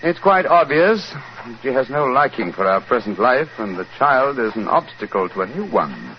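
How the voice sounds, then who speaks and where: An actor playing an assured, urbane English gentleman detective with a British accent, in 1940s radio drama style. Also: mid-range voice, sing-song, moderate pace, close to an everyday voice. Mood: assured, explaining. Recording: muffled, noisy 1940s radio recording.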